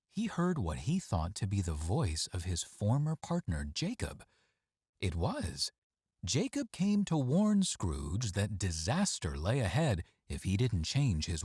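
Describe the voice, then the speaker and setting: A man reading a story aloud as scripted narration, with dramatic, measured phrasing. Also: on the low side, a little mellow, husky, dry recording, clean studio sound. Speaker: story reader.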